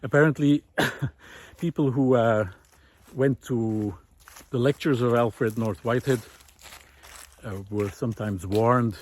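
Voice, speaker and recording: A man giving an unscripted, reflective monologue while out walking, thoughtful and hesitant, pausing often as he finds his words. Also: medium pitch, steady tone, a little mellow. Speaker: host of a walking audio diary, former teacher. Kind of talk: unscripted reflective spoken monologue recorded on a walk. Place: outdoors, walking.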